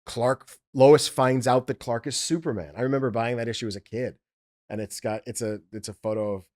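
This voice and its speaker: A man chats in an enthusiastic, emphatic way, as a producer in a relaxed discussion. His voice sits at a medium pitch. The sound is dry, with no room echo. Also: a little fast. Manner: enthusiastic, emphatic, repeats intensifiers. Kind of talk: casual chat about favorite comics and films.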